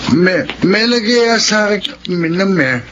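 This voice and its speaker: A man's voice: high voice, lively intonation, measured pace. A man playing a wounded, defiant younger brother in a Filipino radio drama, with a sullen, emotional delivery. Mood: drunk, slurring. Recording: dull lo-fi radio drama audio, noisy.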